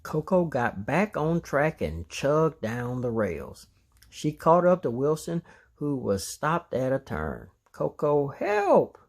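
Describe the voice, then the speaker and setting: A man's voice: mid-range voice, sing-song, takes it slowly. A man reading a children's story aloud as narrator. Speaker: storybook reader.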